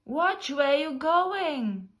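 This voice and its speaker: A woman voicing a very tall, indignant storybook giraffe in a sharp, scolding manner. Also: high voice, big pitch swings, very slow, pitched above the performer's own voice, heavily acted. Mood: indignant warning. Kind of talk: storybook character reading.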